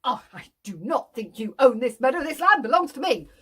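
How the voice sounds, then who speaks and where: A woman's voice: mid-range voice, animated, takes it slowly. A woman voicing a pompous, prim male farmer who is overly formal and blustering. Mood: haughty. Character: pompous, haughty male farmer, middle-aged.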